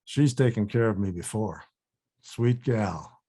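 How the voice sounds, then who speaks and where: A man's voice: lowish voice, unhurried, dark tone, breathy edge. A man playing a laid-back, folksy pal with a menacing side in a table read. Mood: folksy charm.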